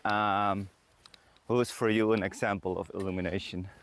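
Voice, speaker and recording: A man's voice: on the low side, level tone, moderate pace, a bit bright. A man hosting a casual interview while walking outdoors, putting his questions in a searching, conversational way. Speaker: podcast host interviewing. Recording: outdoor walk with clear background noise.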